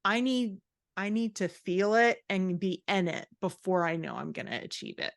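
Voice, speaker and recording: A woman's voice: mid-range voice, moderate pace, creaky, no room sound, clean studio sound. A middle-aged woman giving candid, emphatic advice in a conversational podcast interview as a guest author and writing coach. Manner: candid, emphatic, self-described sassy. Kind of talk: conversational interview answers, explaining and advising.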